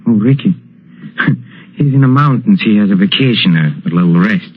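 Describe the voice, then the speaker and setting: Husky, low cultured, nervous impresario. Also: male voice, lively intonation. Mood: nervous, polite. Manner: halting, overly polite, nervous chuckles. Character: cultured, nervous European ex-impresario turned boxing manager. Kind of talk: 1940s radio suspense acting. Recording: muffled vintage radio recording.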